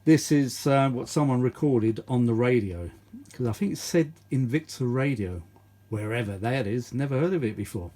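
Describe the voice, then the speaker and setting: A man's voice: mid-range voice, normal speed, dark tone. A British man rambling casually through a hands-on demonstration, often muttering asides to himself. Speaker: vintage audio hobbyist and video host.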